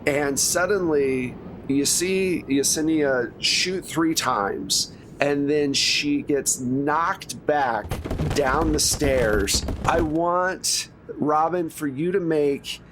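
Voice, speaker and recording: A man's voice: fairly high pitch, drawn-out words. A man speaking as game master, improvising narration in the second person and explaining dice rules in a conversational way. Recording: noisy recording with clear background noise.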